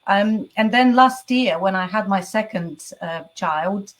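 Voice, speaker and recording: A woman's voice: mid-range voice, slow pace. A woman in her forties from the UK, a guest telling her story in a casual chat, chatty, with frequent laughs and some stammering.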